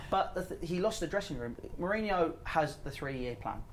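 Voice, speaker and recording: A man arguing football as a pundit in a heated, loud debate, pushing his points hard. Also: on the high side, lively intonation, normal speed, slight background noise. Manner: argumentative, loud, talks over others. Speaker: Chelsea fan pundit.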